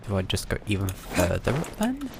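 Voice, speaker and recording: A man's voice: fairly low pitch, huge pitch swings, moderate pace, vocal fry, noisy background. A British man giving casual live game commentary, muttering his thoughts aloud with dry understatement. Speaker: game livestreamer. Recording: game music behind the voice. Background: video game music.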